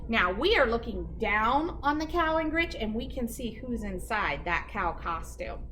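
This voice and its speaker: Bright, animated woman reading a picture book. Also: on the high side, measured pace, faint music behind, rough recording. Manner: explains pictures and asks listeners questions. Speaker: teacher reading aloud.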